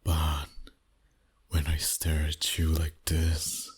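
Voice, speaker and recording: A very low, heavily breathy male vampire, acted out by a man for an ASMR roleplay. He speaks slowly and intimately to one listener, often sinking toward a whisper. The manner is dominant, flirtatious and teasing. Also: flat delivery, exaggerated voice. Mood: teasing, seductive. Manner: slow, deliberate, flirtatious.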